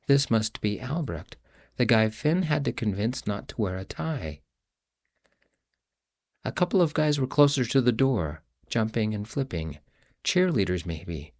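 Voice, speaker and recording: A man reading his own novel aloud in a measured, reflective first-person storytelling voice. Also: lowish voice, vocal fry, a little mellow. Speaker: audiobook narrator and author. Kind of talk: first-person audiobook narration.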